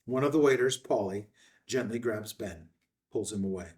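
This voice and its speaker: A man reading screenplay stage directions as narrator in terse, measured, declarative phrases at a table read. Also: on the low side, a little mellow, far from the mic.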